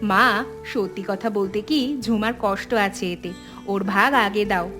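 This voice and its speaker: A woman playing a sensible married elder sister and mother in an animated family drama, composed and reflective. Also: medium pitch, slow pace, over music, natural voice. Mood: firm, teasing.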